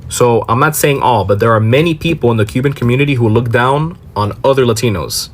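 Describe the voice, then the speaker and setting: A man's voice: mid-range voice, brisk. American man, emphatic explainer. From Miami, Florida. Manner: emphatic, poses rhetorical questions and answers them. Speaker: commentator on a social media reaction video. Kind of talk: explanatory political commentary to camera.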